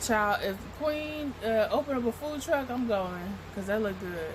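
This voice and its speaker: Woman reactor, slow and amused, higher voice, steady hiss under her. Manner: amused, chatty, laughs often. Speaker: reaction commentator. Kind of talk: casual reaction commentary.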